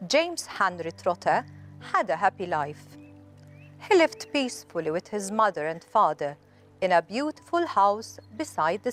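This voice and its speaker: Woman reading a children's story, lively intonation. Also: medium pitch. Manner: clear deliberate storytelling with expressive emphasis. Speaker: storybook reader. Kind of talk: children's story narration, read aloud.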